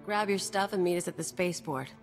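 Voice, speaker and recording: A woman's voice: fairly low pitch, fast, close to an everyday voice. A woman playing a brash, scheming, vindictive mercenary in a video game, with a cocky, sardonic, slangy delivery. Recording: long reverb, music behind.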